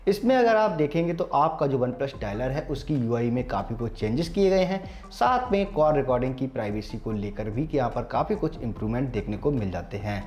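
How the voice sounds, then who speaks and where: A man's voice: medium pitch, a little mellow. An Indian man hosting a tech tutorial, speaking formally to viewers in an instructional, step-by-step way. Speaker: tech channel host. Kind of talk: scripted-sounding phone tutorial narration.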